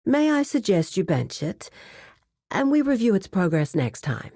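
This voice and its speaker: Composed cartel administrator, expressively pitched. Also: female voice, very animated, dark tone, no echo, studio quality. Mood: stern, reprimanding. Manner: formal, measured, authoritative. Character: composed, businesslike female administrator of a crime cartel. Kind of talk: scripted video game character dialogue.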